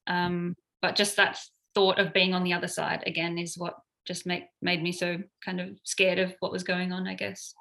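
A bright-toned woman in her thirties speaks in a casual, hesitant way, pausing often on ums. She sounds clear and forward as she recounts events step by step. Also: fairly low pitch, level tone. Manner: hesitant, many fillers. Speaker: paramedic interviewee.

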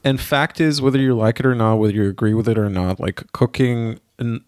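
A man who grew up in Israel, chatting casually on a podcast, pausing often with fillers and restarts. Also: medium pitch, fairly even intonation, darkish tone, no room sound, clean studio sound. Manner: hesitant, many fillers and restarts. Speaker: podcast co-host. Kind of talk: casual chat.

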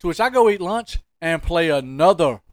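Animated storytelling guy, higher-pitched voice. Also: normal speed, dry recording. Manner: colloquial, emphatic, repeats phrases for effect. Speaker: podcast co-host. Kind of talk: casual comic storytelling.

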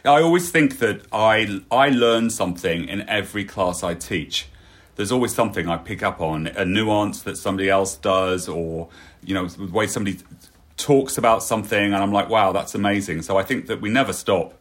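A man's voice: lowish voice, moderate pace. A man hosting a conversational interview, reflective and fond of tag questions. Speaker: podcast host.

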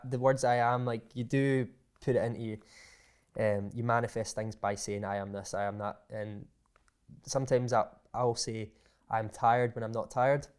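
A man's voice: mid-range voice, a little mellow. A man chatting as an interview guest. He hesitates often and repeats his words as he restarts his sentences. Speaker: guest, aspiring motivational speaker. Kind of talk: casual interview chat.

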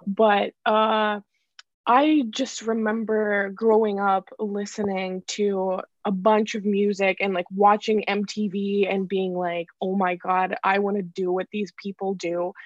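A woman's voice: medium pitch, level tone, measured pace, no room sound. A young woman from Ukraine, a songwriter, chatting casually in an interview with lots of fillers and restarts. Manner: chatty, many likes and restarts, laughs often. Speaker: songwriter guest. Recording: dull dry lo-fi sound.

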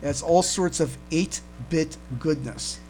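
Man, fairly high voice, hesitant and repetitive, casual podcast commentary, noisy recording with a hum. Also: darkish tone. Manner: hesitant, halting, repeats words. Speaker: podcast co-host.

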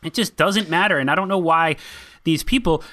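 A man's voice: on the high side, moderate pace, pushed and bright. A man with a Boston accent, co-hosting a film podcast and making his points emphatically. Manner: emphatic, opinionated. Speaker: podcast co-host. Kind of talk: casual film discussion.

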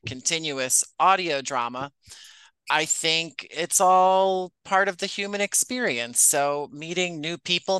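A man's voice: fairly high pitch, sharp tone, clean tone, dry recording, rough recording. A man answering questions as an interview guest on a podcast. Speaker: podcast guest, writer and producer.

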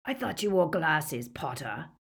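A woman voicing a brutal, greedy male werewolf gang leader who growls, rasps and snarls his lines. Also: low-pitched, very animated, measured pace, heavily acted. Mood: menacing soft. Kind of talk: audiobook character voice.

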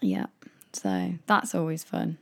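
A woman's voice: low-pitched, sing-song, takes it slowly, creaky, noisy background. A young British woman in casual chat as a podcast co-host, chatty and playful, laughing often.

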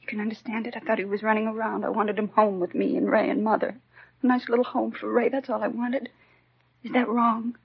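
Dull old radio sound, cornered American wife and mother, raspy, fast talker, 1950s crime drama. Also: a little high, flat delivery. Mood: broken, pleading. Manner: indignant, evasive, clipped retorts. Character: defensive, tearful housewife and mother suspected of poisoning her husband.

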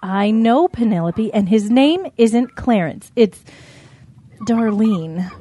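Mother cow for audio drama, played by a woman: indulgent, earnest, defensive, unhurried with swooping intonation. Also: on the high side, animated, dark tone. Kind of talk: children's animal audio drama acting.

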